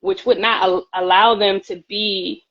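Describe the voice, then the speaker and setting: A woman's voice: mid-range voice, steady tone, unhurried, highs cut off. A woman singer talking earnestly as a guest in a casual live-stream chat, often repeating her words. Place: at home, by her pantry. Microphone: phone on a live stream.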